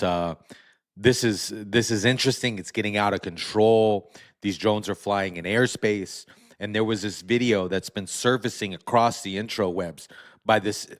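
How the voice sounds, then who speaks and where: A man hosting his own channel, talking casually to camera with a hoarse voice he says he is losing, blunt and skeptical. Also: on the low side, fairly bright tone. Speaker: channel host.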